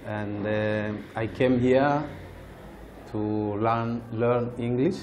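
Slow, halting Burundian man. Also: medium pitch, steady tone, a little mellow, slight background noise. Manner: halting, hesitant. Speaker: student interviewee. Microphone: lapel.

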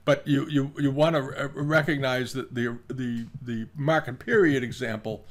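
A man gives unscripted commentary as an antiques host, haltingly, musing and repeating phrases. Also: medium pitch, fairly even intonation. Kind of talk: unscripted commentary on auction items. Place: furnished room at home, indoors.

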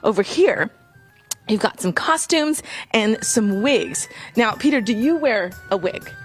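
Woman, TV field reporter, very high voice, animated, gushing, live interview style, light music behind. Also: measured pace. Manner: bubbly, gushing, exclaiming. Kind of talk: live morning-show interview. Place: indoor theater stage and backstage. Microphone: handheld reporter microphone.

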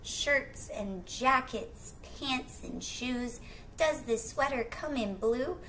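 A middle-aged woman reads to children in a bright, clear-edged voice. She takes her time, drawing out phrases and chatting casually to the listener. The home recording carries faint background noise. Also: sits high, poor audio. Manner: expressive, drawn-out rhyming phrases, questions to the listener. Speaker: teacher reading a picture book aloud. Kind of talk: children's read-aloud with casual asides to young listeners. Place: small indoor room at home.

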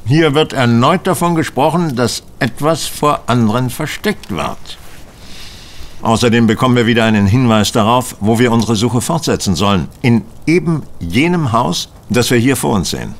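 A man's voice: mid-range voice, lively intonation, normal speed, natural voice. An actor playing a confident, methodical male detective in an audio drama, lecturing with precise articulation. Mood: eager, assured.

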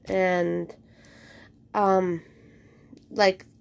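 Slow-talking woman with a head cold. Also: fairly low pitch, vocal fry. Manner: relaxed, rambling with many ums. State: slightly congested from a cold. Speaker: crafting vlogger. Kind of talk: casual unboxing chat. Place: indoor craft room at home.

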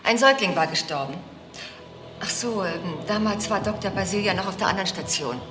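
A woman playing a senior night nurse in a crime radio play, frank and a little hesitant, talking in a thoughtful, halting way. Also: medium pitch, lively intonation, lightly acted. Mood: explaining, frank. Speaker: actor. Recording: loud music behind the voice.

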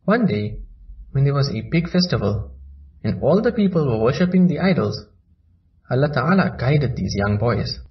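A man narrating a children's story in a slow, lively storybook manner. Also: mid-range voice, lo-fi sound.

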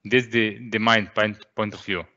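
A man's voice: fairly low pitch, level tone, normal speed, bright, forceful tone. A man explaining technical energy topics on a video call, often repeating words as he thinks. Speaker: energy expert panelist. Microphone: video call mic. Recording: dull lo-fi call audio.

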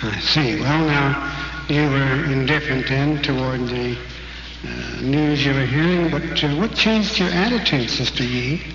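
A man's voice: mid-range voice, unhurried. A man interviewing a guest on stage with friendly, prompting questions. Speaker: interviewer at a convention. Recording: dull, hissy recording in an echoey hall.

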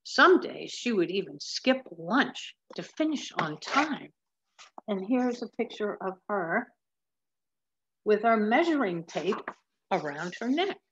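An older woman reading a picture book aloud in a measured, explanatory manner, recorded on a webcam at home. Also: mid-range voice, very animated, noisy background. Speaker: storybook reader. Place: large wood-ceilinged room at home. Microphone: webcam or laptop mic at desk distance. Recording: slightly dull webcam sound.

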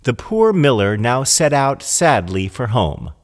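Animated male storyteller in long reverb. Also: mid-range voice, unhurried. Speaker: narrator. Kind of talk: scripted storybook narration.

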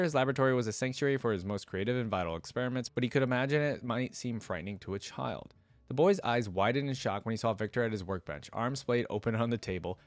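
A man's voice: fairly low pitch, dry recording. A young adult man reading a story aloud with casual commentary into a close desk microphone.

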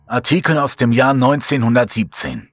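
A man narrating a horror story in first person, slow and brooding, over faint background music. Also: medium pitch, lively intonation, no room sound. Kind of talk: scripted horror audiobook narration. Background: faint music bed.

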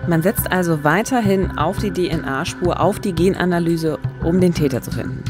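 A woman co-hosting a true-crime podcast in a radio studio, measured and asking questions. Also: lowish voice, over music. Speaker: podcast co-host. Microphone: studio microphone with pop filter, headphones.

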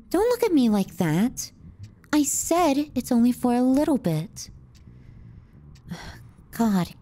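A woman playing a teasing, callous, clingy younger sister in an intimate ASMR roleplay, sardonic and often hushed. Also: medium pitch. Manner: sardonic, needling, dropping into hushed murmurs.

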